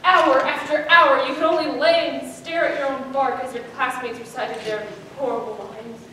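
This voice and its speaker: Teenage female narrator for comic readers theater, high voice, projected and theatrical, recorded in a very echoey room. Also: steady tone, moderate pace, sharp tone. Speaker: student performer, narrator. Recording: echoey room, distant mic, faint noise.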